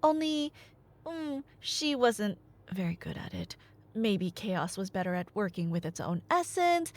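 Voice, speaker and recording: A woman's voice: medium pitch, very animated, unhurried. A woman playing a nerdy girlfriend who tells myths in a casual, rambling ASMR roleplay style, with wry, hesitant asides. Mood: wry, musing. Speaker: ASMR audio roleplay performer.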